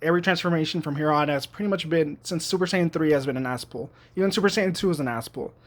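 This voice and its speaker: Man, high-ish voice, casual reviewer talking from his desk; rambling, full of "um" and restarts, blunt and excited. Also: fast talker. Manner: rambling, frequent fillers and restarts; enthusiastic, blunt opinions. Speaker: YouTube reviewer. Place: at home at his computer.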